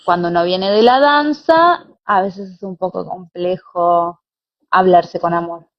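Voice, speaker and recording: A woman's voice: fairly low pitch, measured pace. Argentine woman, dull lo-fi audio. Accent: Argentine Spanish. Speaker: interview guest, pilates teacher and former dancer. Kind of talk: conversational interview answers. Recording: dull, lo-fi online call audio.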